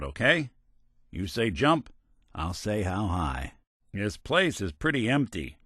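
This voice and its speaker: An actor playing a world-weary, wry but loyal male synth private detective in a video game, with a gruff, hard-boiled noir delivery. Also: fairly low pitch, fairly bright tone, no room sound, studio quality, heavily acted. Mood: focused, determined.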